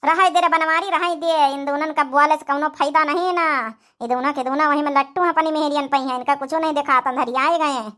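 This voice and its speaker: A woman's voice: high voice, steady tone, fairly quick, hardly any pauses, a bit bright. A woman voicing an aggrieved, weary old village mother-in-law in a comedy cartoon, plaintive, with an Awadhi accent. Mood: resigned. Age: older. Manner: complaining, plaintive. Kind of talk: comedy cartoon family drama.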